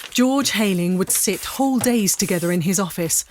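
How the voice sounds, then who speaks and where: A woman narrates a classic story for an audio drama. Her voice sits at a medium pitch, in a scripted storytelling delivery with faint noise behind it. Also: lively intonation, moderate pace, a little mellow.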